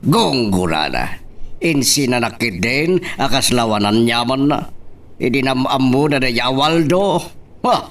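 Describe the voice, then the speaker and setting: A man's voice: medium pitch, animated, measured pace, a bit bright. A man playing a smug, gloating well-off husband in an Ilocano audio drama, with an Ilocano accent. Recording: distant mic.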